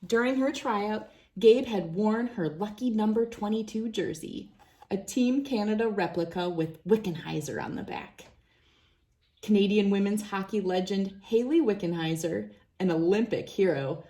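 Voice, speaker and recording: A woman's voice: mid-range voice, lively intonation, normal speed, dark tone. A woman reading a children's storybook aloud as narrator. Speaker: children's book reader.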